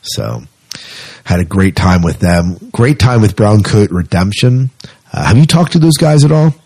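Low-pitched, slightly husky man hosting a podcast. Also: big pitch swings, measured pace, mellow, dry recording. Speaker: podcast host. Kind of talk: casual podcast talk.

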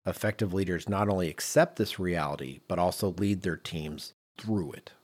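Male podcast co-host, low register, conversational but emphatic explainer who repeats points for effect. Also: moderate pace, darkish tone, husky. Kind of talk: conversational podcast discussion on leadership.

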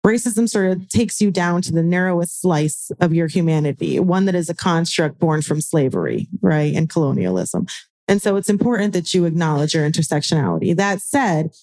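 A woman's voice: on the low side, animated, a little fast, a little mellow. An American woman in her forties speaking as a panel guest, emphatic and wry, repeating phrases for effect. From United States. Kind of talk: panel discussion.

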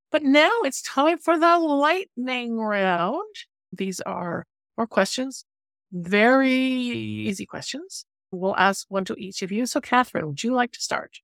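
A woman co-hosting an interview, asking questions in a lively, animated way. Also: a little high, measured pace, no room sound, clean studio sound. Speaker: interview co-host. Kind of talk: hosting a lightning round.

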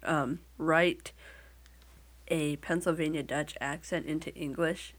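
A woman's voice: low voice, fairly even intonation, slow. A woman with a Midwestern American accent, talking casually as an interview guest and telling things in a wry, lightly joking way. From Midwestern United States.

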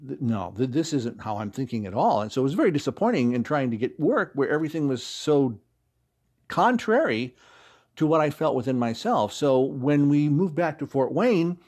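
A man answers interview questions in a casual, conversational way. He talks expressively and rambles like a joking storyteller, at an ordinary middle pitch. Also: a little mellow. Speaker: interview guest, audio drama writer and actor.

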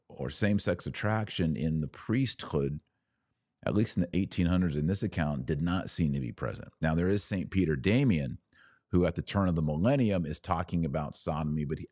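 Really deep male voice, dry close sound, earnest religious podcaster, repeats stuff for emphasis. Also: darkish tone, dry recording. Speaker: podcast host answering live viewer comments. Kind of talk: live religious commentary.

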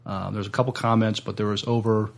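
Flat, creaky-voiced man with low pitch. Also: quick pace. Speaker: podcast co-host. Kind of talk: casual chat.

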